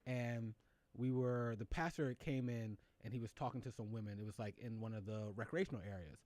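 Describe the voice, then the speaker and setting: A man hosting a casual chat show about news and culture, animated, laughing often and stumbling over his words when excited. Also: medium pitch, fairly quick, no room sound. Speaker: show host.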